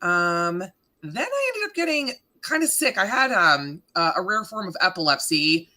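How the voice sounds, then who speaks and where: A woman in her thirties from Canada with a deep voice, a radio morning host chatting casually in an interview, laughing often and filling with ums. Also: very animated, unhurried, pushed and bright. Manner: chatty, laughing, self-deprecating, many fillers.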